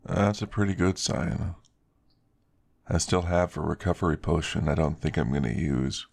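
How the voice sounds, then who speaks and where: A man's voice: extremely low pitch, normal speed, creaky, a little mellow, pitched below the performer's own voice, exaggerated voice. A man voicing a caring, friendly male werewolf in an ASMR story. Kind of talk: character dialogue in an ASMR story.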